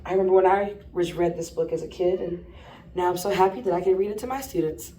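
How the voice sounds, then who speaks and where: A woman teacher reading a children's picture book aloud in an expressive storytelling style. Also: fairly low pitch, quick pace. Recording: roomy, distant mic, faint noise.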